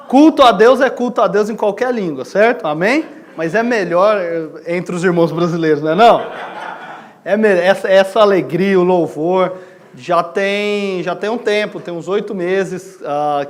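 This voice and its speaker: A Brazilian man preaching a sermon as a missionary in a conversational way, putting questions to his listeners and checking that they agree. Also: on the high side. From Goiânia, Brazil. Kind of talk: sermon to a congregation.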